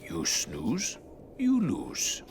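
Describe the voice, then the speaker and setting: An actor playing a wise, dryly teasing old mentor in a video game, drawing out words like a proverb. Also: male voice, mid-range voice, wildly swooping pitch, noisy background, full character voice. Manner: proverb-like drawn-out delivery. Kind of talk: video game cutscene acting.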